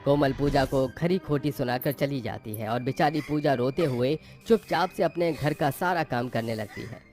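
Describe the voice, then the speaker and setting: Male narrator for scripted storytelling. Medium pitch, faint music bed. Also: moderate pace, a little mellow.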